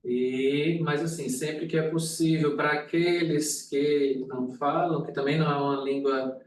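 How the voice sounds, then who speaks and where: A man making informal, halting remarks at a meeting, often correcting himself. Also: on the high side, steady tone, slight background noise. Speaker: meeting participant. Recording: echoey room, distant mic.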